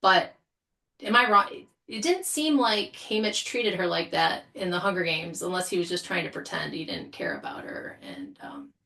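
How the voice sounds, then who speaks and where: A woman chats as a guest on a panel, her voice slightly low for a woman but very bright. She is informal and animated, thinking out loud as she goes. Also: moderate pace, gravelly. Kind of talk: casual chat.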